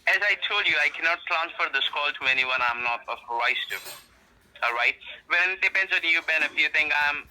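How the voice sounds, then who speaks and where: Sharp-voiced insistent man on a phone. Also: a little high, lively intonation, brisk, slight background noise. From Asia. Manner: insistent, threatening, repetitive. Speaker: phone scammer posing as tax agent. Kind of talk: scripted pressure phone call. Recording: tinny, sharp telephone line.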